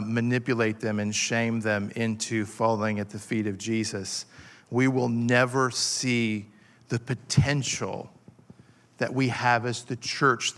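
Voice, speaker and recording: A man in his forties preaching a passionate sermon, halting with fillers and restarts as he builds his point. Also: medium pitch, fairly bright tone. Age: 40s. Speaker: pastor.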